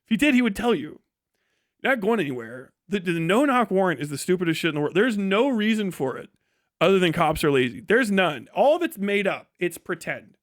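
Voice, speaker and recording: A man's voice: high voice, very animated, fairly quick, dry recording, studio quality. An American man hosting a livestream, talking casually with blunt, opinionated, sweary commentary. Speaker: livestream host.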